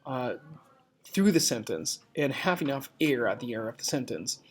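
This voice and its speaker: A teacher giving instructions and reading a storybook aloud in a deliberate, expressive, explanatory way. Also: mid-range voice, slightly rough.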